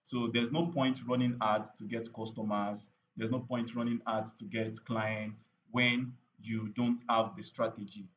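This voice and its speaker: A man's voice: lowish voice, level tone, unhurried, hardly any pauses, fairly bright tone, a little hiss or hum. Chatty man with muffled audio. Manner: chatty and repetitive, with many fillers and rhetorical "right?". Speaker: paid ads trainer. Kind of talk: live online training session.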